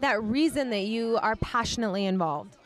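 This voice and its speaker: A woman's voice: on the high side, a little fast. An upbeat, effusive woman interviewing guests as a chatty red carpet host.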